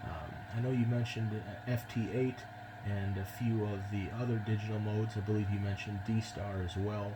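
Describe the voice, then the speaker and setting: A man with an American accent chatting casually as an amateur radio operator, in a measured, slightly hesitant way. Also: fairly low pitch, little pitch movement. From United States. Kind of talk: casual ham radio chat. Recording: very loud background noise on radio transmission.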